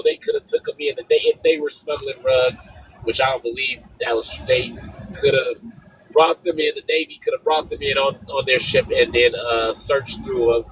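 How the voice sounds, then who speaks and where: A man with a raspy, hoarse voice from being sick, a panel guest talking bluntly in casual chat. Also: mid-range voice, fairly bright tone. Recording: muffled audio with faint background noise.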